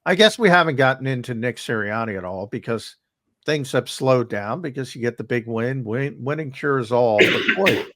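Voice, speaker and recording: A man hosting a casual sports talk show, losing his voice a bit, animated with quick interjections. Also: medium pitch, no room sound, studio quality.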